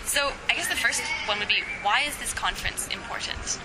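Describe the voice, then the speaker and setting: A woman asks interview questions quickly and casually at a medium pitch. Clear urban outdoor noise sits behind her. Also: lively intonation. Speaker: podcast interviewer. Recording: noisy outdoor urban location.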